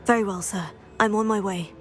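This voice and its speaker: A woman's voice: medium pitch, fairly even intonation, fairly quick, lightly acted. A woman playing an earnest, polite teenage witch student in a video game. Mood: composed, earnest. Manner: polite, composed. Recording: music under the voice.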